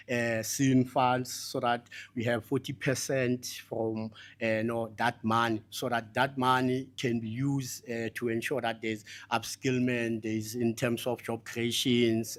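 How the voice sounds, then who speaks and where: A man giving a spoken report at a conference, very hesitant, with many fillers and broken phrases. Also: mid-range voice. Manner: very halting, heavy hesitation, repeated verbal fillers. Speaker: summit delegate reporting back for a group.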